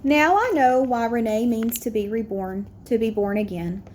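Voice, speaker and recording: A woman's voice: a little high, moderate pace, a little mellow, slight background noise, natural voice. A woman voicing a proud, earnest young immigrant schoolboy telling his own story in a picture-book read-aloud. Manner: earnest, declarative.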